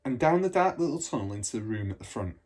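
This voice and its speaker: A man's voice: medium pitch, sing-song, fast, darkish tone. A man narrating a children's story from a book. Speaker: storybook reader.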